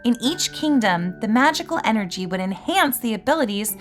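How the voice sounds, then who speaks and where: A woman acting a playful, nerdy, excitable fairy student with a dramatic storytelling manner in an amateur voiced roleplay. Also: fairly high pitch, fairly quick, faint music behind, natural voice. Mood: eager storytelling.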